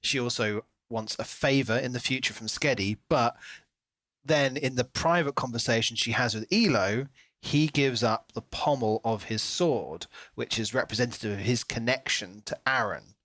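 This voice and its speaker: A man's voice: medium pitch, moderate pace, fairly bright tone, no echo, low-quality recording. A man co-hosting a podcast, talking casually through a book and acting out reactions as he retells it. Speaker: podcast co-host.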